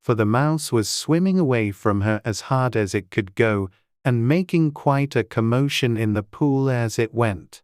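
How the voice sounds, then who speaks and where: A woman's voice: very deep voice, measured pace, darkish tone, no echo, studio quality. A woman reading scripted storybook narration as an audiobook narrator.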